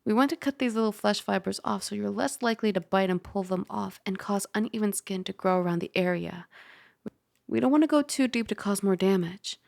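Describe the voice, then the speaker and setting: Woman as a gentle, teasing dragon girl, creaky, close-up. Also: medium pitch, fairly quick, dry recording, close to an everyday voice. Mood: gentle, reassuring. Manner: soft, chatty, gently instructive, sometimes dropping to a whisper. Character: caring, teasing dragon girl roommate, mildly scolding. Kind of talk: ASMR roleplay.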